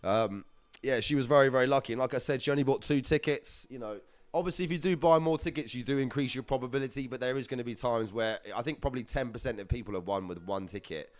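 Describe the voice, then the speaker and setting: A man's voice: medium pitch, fast talker, no room sound. A man hosting a live prize draw in upbeat, chatty, slangy casual talk. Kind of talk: casual live-stream chat.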